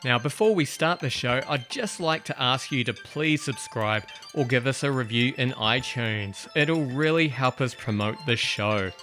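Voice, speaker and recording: A man from New Zealand hosting a podcast, reading a brisk friendly intro and then interviewing a guest. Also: mid-range voice, lively intonation, bright, forceful tone, soft background music. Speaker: podcast host.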